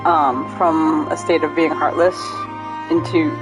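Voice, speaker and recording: A woman streaming a game live, explaining the story in a halting way as she thinks aloud. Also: deep voice, level tone, low-quality recording. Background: game music.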